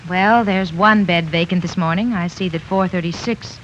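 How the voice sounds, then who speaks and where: An actress playing a chatty, deferential young nurse in a 1940s radio drama. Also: female voice, lowish voice, normal speed, a little hiss or hum, lightly acted. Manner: deferential, gossipy. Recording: muffled 1940s radio broadcast.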